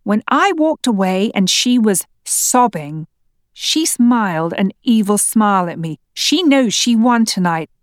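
A woman voicing a furious stepmother arguing with her husband, sharp and insistent. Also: medium pitch, animated, a little slow, no echo, clean studio sound, lightly acted. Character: furious defensive stepmother in a family fight.

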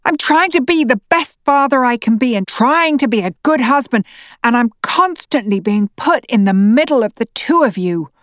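A woman voicing a worn-out, angry father and husband in a family argument, shouting and pleading. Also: a little high, very animated, unhurried, no room sound, studio quality. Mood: furious. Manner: raised, pleading, exasperated. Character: angry exhausted middle-aged stepfather and husband.

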